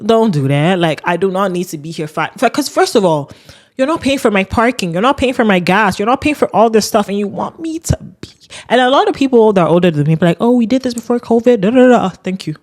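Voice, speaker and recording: A young adult woman with a deep voice, hosting a podcast and ranting casually with laughs. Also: animated, quick pace, a little mellow. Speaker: podcast host. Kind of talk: casual solo rant.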